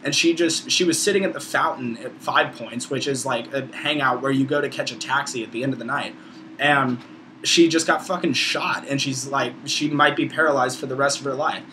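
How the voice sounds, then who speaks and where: Male, about 20, American accent, informal college vlogger, opinionated and profane, bright forceful voice, slightly noisy recording. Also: medium pitch, fairly even intonation, brisk. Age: around 20. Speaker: video blogger, college student. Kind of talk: casual opinionated vlog monologue.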